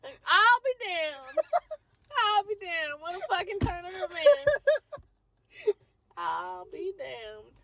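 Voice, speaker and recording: Animated, profane young woman. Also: very high-pitched, takes it slowly, long pauses. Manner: loud, profane, reactive, animated. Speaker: podcast co-host. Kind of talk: casual chat.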